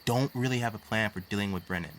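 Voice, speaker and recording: A man's voice: lowish voice, fast, slight background noise, lightly acted. A man playing a nerdy, anxious teenage boy in an audio drama, wordy and wry, explaining things pedantically. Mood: worried. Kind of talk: naturalistic audio drama acting. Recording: faint outdoor night ambience with crickets.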